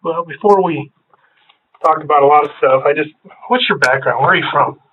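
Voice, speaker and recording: A man's voice: a little fast, slight background noise. A man working as a police detective, questioning someone in a casual, friendly way in a police interview room. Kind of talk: interrogation small talk. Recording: tinny low-fidelity room recording.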